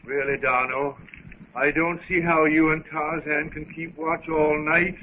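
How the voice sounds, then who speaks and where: An actor playing a weary, affable gentleman explorer with a genteel, old-fashioned way of chatting in a 1930s radio serial. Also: fairly high pitch, level tone, measured pace, natural voice. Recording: tinny, hissy 1930s radio recording.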